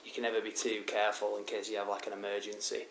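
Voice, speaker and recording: A man's voice: on the low side, completely flat delivery, quick pace. Man with a cold, rambling review. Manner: rambling, many ums and restarts. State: has a head cold. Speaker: DVD reviewer. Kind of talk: casual show-and-tell review. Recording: roomy echo, loud background hiss.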